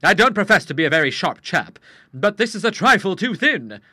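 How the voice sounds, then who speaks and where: A man's voice: sits high, sing-song, fairly quick, sharp tone, no room sound, studio quality, pitched above the performer's own voice, full character voice. A man voicing an earnest, plain-spoken young man who is suspicious, in an audiobook. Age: young adult.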